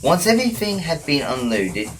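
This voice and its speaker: A man narrating a children's read-along story in a deliberate storytelling manner. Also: on the high side, very animated, a bit bright, a little hiss or hum, low-quality recording.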